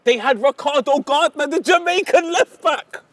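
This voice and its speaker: A man with a London accent co-hosting a football show, chatting casually in an animated, slangy, jokey way. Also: very high voice, moderate pace, no room sound.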